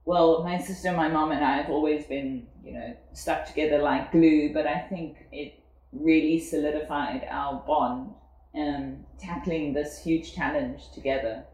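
A South African woman in her forties speaks in a low voice. She talks reflectively, pausing on fillers, and the sound rings around an echoey room. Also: steady tone, a little mellow. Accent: South African English. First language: English. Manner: reflective, with frequent fillers and laughs. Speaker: guest. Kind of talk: casual conversational interview.

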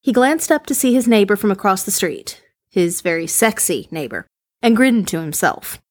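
A woman reading a short story aloud in a calm, steady way. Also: mid-range voice, moderate pace, dry recording, studio quality. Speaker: story reader.

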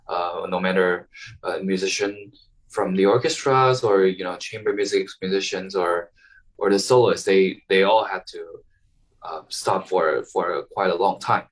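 Hesitant young man, somewhat low. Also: recorded from a distance, rough recording. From Taiwan. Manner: hesitant, many ums and restarts. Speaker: violinist guest. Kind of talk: casual interview answers.

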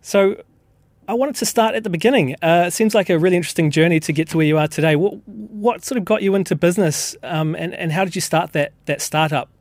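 Male host, business interview, fairly high voice, dry close sound. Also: quick pace, dry recording. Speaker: podcast interviewer.

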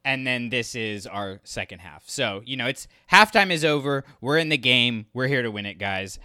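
A man's voice: mid-range voice, lively intonation, moderate pace, bright, forceful tone, smooth, no room sound, studio quality. A man co-hosting a podcast in casual, jokey, rambling chat. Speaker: podcast co-host.

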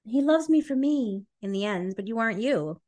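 A woman's voice: a little high, expressive, normal speed, dark tone. Blunt, joking woman podcaster. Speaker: podcast co-host. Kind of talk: casual chat.

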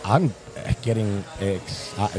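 A man's voice: lowish voice, slow pace, music in the background, low-quality recording. A man hosting a casual talk show, bantering loosely with his co-hosts, swearing now and then. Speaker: show host.

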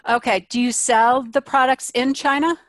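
A woman's voice: sits high, steady tone, normal speed, fairly bright tone, no echo, studio quality. A woman hosting a business podcast, asking questions and retelling earlier guests' stories in a conversational way. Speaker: podcast host. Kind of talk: interviewer chat.

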